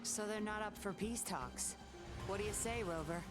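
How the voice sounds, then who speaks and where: A woman's voice: mid-range voice, normal speed, over loud music, close to an everyday voice. A woman voicing a cool, confident agent in a video game, teasing and composed. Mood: amused approval. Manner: sly, composed.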